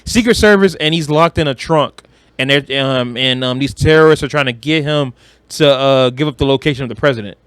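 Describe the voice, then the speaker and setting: A man's voice: medium pitch, fairly quick, fairly bright tone, smooth, no echo. A man talking casually about movies as a podcast co-host, in a blunt, slangy way with plenty of swearing.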